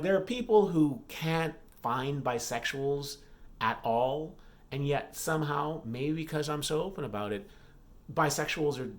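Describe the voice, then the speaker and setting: Casual male author vlogger, halting delivery. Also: mid-range voice. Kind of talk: casual vlog chat.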